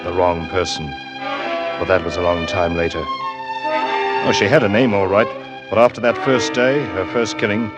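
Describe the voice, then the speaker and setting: A brooding old-radio sailor narrator, low-voiced. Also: male voice, huge pitch swings, unhurried, long pauses, heavily acted. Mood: grim, brooding, then bitter. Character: seasoned male sailor grimly recalling a murderous ship. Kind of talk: classic radio drama narration. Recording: old radio recording, loud music behind.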